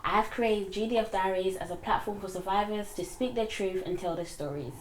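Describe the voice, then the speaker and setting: A woman's voice: medium pitch, fairly quick, a little hiss or hum, poor audio. A woman hosting a casual interview, asking questions in a friendly, teasing way. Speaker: host.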